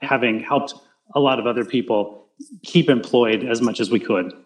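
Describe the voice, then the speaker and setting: A man with a loud voice answering interview questions casually, with many restarts and fillers. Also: mid-range voice. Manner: halting, full of restarts and fillers. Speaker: interview guest. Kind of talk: casual video-call interview.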